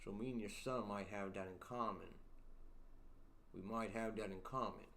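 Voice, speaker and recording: A young man hosting a casual livestream, reading chat aloud and joking around, with a deep voice. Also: steady tone, normal speed, a bit bright, a little hiss or hum. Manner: playful, jokey, sings snippets and reads chat aloud. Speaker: livestream host.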